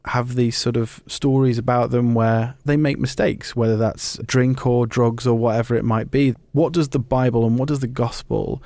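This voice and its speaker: Gravelly-voiced man hosting a podcast. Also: mid-range voice, fairly even intonation, moderate pace, few pauses, warm tone, no echo, studio quality. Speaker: podcast host. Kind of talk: conversational podcast presenting.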